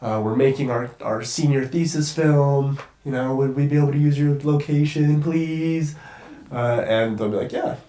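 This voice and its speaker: A man's voice: medium pitch, moderate pace, mellow. Congested young man in a roomy space. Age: young adult. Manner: rambling, swears casually, restarts often. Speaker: guest cinematographer. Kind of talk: casual podcast conversation.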